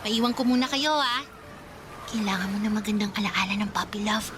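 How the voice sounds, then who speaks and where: A woman's voice: mid-range voice, brisk, noisy background, natural voice. A woman playing a sentimental, teasing older girl cousin in a radio romance drama, confiding and dramatic.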